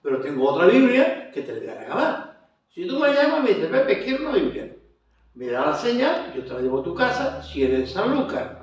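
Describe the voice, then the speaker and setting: A man with an Andalusian Spanish accent who hosts an evangelical program, talking at a fairly high pitch in a chatty, affirming way. He sounds a little distant from the mic in a very echoey room. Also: huge pitch swings, brisk. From Spain. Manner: warm, chatty, with frequent amens. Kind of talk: evangelical TV interview.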